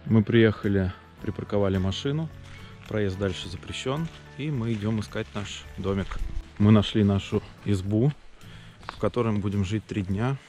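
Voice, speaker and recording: Low-voiced man vlogging on location. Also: moderate pace, mellow, faint music behind. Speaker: travel vlogger. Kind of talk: on-location vlog narration.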